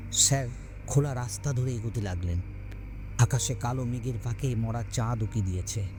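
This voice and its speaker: A man narrating a scripted horror story as host, dramatic, with deliberate pauses between phrases. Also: mid-range voice. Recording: background music under the voice. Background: scary music.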